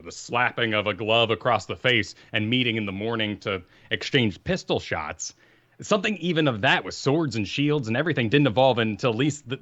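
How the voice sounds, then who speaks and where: A man co-hosting a gaming podcast, talking energetically and assertively in a deep voice into a studio microphone. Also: a bit bright, dry recording, muffled recording. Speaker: podcast co-host. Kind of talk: casual gaming podcast talk.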